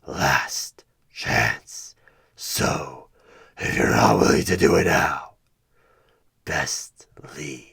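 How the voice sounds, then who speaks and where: A man playing a sinister, manipulative demonic job interviewer in a horror ASMR roleplay, with a gravelly growl that breaks into breathy whispers and a menacing, deliberate delivery. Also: rumbling low, sharp tone, heavily acted. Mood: menacing, goading. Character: sinister male demonic tempter offering a corrupt deal. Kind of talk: one-sided horror ASMR audio roleplay.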